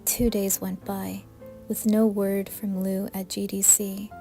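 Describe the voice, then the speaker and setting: A woman's voice: mid-range voice, little pitch movement, slow pace, warm tone, soft background music. A woman reading scripted horror narration as a storyteller.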